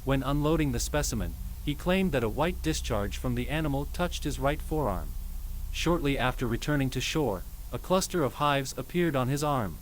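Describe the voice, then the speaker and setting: A man acting as a male doctor records a grim patient report for an analog horror piece. His mid-pitched voice is formal and clinical, with measured delivery. Some background noise sits under the recording. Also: expressive, close to an everyday voice, low-quality recording. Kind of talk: analog horror scripted narration.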